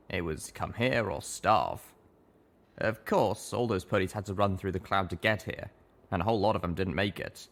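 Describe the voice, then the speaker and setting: A man's voice: on the low side, vocal fry. A man voicing a jaded, sardonic century-old ghoul pony in a colt's body, rambling wearily in a storybook reading.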